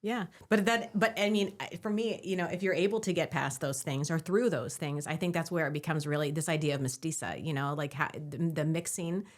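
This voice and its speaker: A woman's voice: low-pitched, brisk, hardly any pauses. A woman co-hosting a podcast, thinking aloud with many fillers and often agreeing with her partner. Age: adult, divorced. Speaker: podcast co-host. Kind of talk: conversational podcast discussion.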